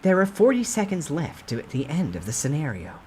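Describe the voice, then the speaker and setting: A man's voice: fairly high pitch, huge pitch swings, a little fast, a little mellow, slight background noise, pitched above the performer's own voice. A man voicing an impersonal game-like system that makes formal announcements.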